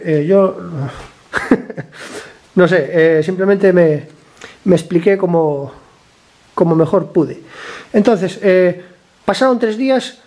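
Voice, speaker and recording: A man with a slightly hoarse voice from a cold, talking casually while he works on a motorcycle in a garage, explaining each step and often checking in with his viewers. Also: high voice, a little mellow. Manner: chatty, explains step by step, keeps asking ¿vale?. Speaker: motorcycle mechanic vlogger.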